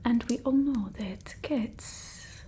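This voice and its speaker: Casting: haughty female vampire played by a woman for whispered ASMR roleplay, slow and fussy, mellow tone. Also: on the high side. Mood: disdainful, warning. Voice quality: breathy whisper, soft voiced in places. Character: haughty fussy female vampire mistress instructing her familiar. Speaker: ASMR creator.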